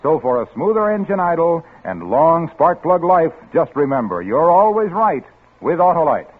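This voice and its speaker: A man's voice: fairly high pitch, very animated, normal speed, background noise. A man working as a 1940s radio announcer, reading scripted copy and ads with a polished sales manner. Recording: tinny 1940s radio recording, music behind.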